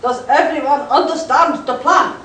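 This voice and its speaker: Woman playing high, commanding German ringleader. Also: normal speed, sharp tone. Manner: emphatic, stern. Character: commanding, fanatical German plot leader. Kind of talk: live amateur comic radio play. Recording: echoey room, distant mic, faint noise.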